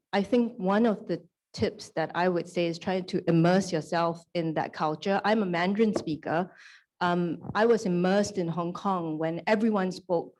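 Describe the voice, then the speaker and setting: A woman executive on a panel, sharing career anecdotes haltingly with many "um"s and a self-deprecating tone. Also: on the low side, a little mellow, low-quality recording.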